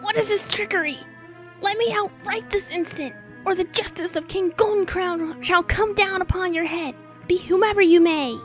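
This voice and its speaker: A woman's voice: very high voice, normal speed. A woman voicing a young pony filly who plays a brave, indignant knight in a theatrical story reading. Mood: indignant outrage. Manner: theatrical, bombastic. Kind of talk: theatrical fan fiction voice acting. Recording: music behind the voice.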